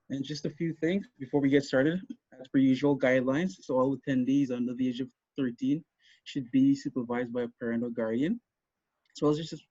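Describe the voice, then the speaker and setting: Mellow guy hosting a webinar, soft dark tone, kinda dull sound. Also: medium pitch, breathy edge, dry recording. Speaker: host introducing a guest. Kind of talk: webinar introduction.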